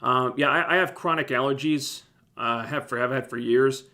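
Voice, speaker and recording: A man with a slightly scratchy, phlegmy throat chats with viewers on a livestream. His pitch sits in the middle for a man, and he talks in an easygoing, meandering way, often starting a sentence over. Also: normal speed. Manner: relaxed, rambling, self-interrupting. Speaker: livestream host reviewing TV shows. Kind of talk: casual livestream chat answering viewers.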